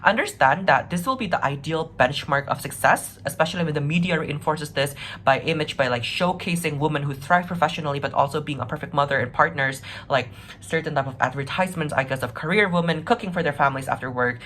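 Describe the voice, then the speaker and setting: Low-pitched woman arguing in a debate. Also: fast talker, fairly bright tone, a little hiss or hum. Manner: earnest, conversational, frequent 'right?' and 'like' tags. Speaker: competitive debater, first affirmative speaker. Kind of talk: argumentative debate speech, semi-improvised.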